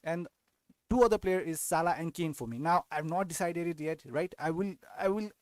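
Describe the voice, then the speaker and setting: A man's voice: a little high, normal speed, dry recording, clean studio sound. Hesitant young man from Nepal. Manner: hesitant, many restarts and tag questions. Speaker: fantasy football channel host. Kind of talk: casual live-stream Q&A.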